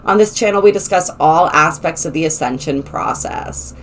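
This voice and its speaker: A woman hosting a spiritual channel, her voice slightly raspy, talking in a gentle, flowing way with some halting restarts. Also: low-pitched, expressive, slight background noise. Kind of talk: casual spoken explainer, partly read aloud.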